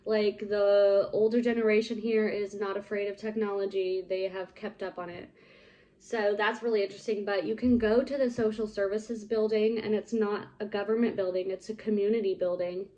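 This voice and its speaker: A young woman talks loosely and casually, filling her sentences with likes and ums. Her voice is mellow and soft-edged, sitting at an ordinary middle pitch. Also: flat delivery, normal speed, highs cut off. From United States. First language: English. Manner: rambling, lots of "like" and "um". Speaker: vlogger. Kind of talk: casual chat to camera.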